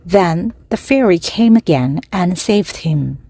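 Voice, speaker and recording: A woman reading a children's story aloud slowly and carefully for language learners to repeat. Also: on the low side, expressive, mellow, no echo. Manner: slow, careful, evenly paced reading.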